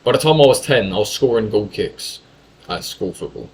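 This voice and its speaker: A man's voice: lowish voice, lively intonation, normal speed, poor audio. A young man from England telling a story in a casual monologue, talkative and full of swearing and self-corrections. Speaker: YouTuber.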